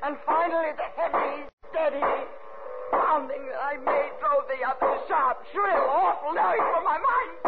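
An actress playing an anxious, cold-hearted widow in intense first-person 1950s radio thriller style, on a narrow, muffled recording with music. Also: female voice, high voice, a little slow. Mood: tormented. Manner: dramatic, intense, confessional first-person telling. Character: anxious, cold-hearted widow, outwardly proper, inwardly unravelling.

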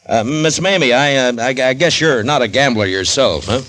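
An actor in a 1950s radio drama playing a calm, laconic frontier marshal with dry amusement and hesitant fillers. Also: male voice, mid-range voice, normal speed, close to an everyday voice. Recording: old radio broadcast with faint hiss.

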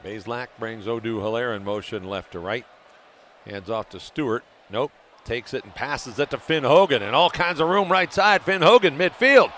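A man giving live radio play-by-play of a football game, excitable and quick to raise his voice for big plays. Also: medium pitch. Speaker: play-by-play announcer. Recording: noisy live stadium broadcast ambience.

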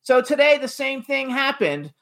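A man's voice: extremely high pitch, sing-song, moderate pace, sharp tone, a little hiss or hum. A man co-hosting a radio talk show, brash and casual, swearing and laughing as he tells stories.

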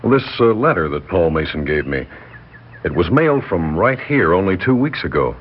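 An actor playing a calm, inquisitive police lieutenant in a 1960s radio drama, husky, courteous and measured as he probes. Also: sits low, full character voice. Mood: calm, probing. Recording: muffled 1960s radio recording.

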